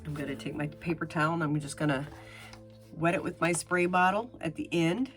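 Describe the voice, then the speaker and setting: Low-voiced, stuffed-up older woman. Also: fairly even intonation, brisk, soft background music. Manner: chatty, explains each step patiently. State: nasal, stuffed-up from a sinus infection. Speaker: artist demonstrating fluid painting. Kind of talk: casual tutorial narration.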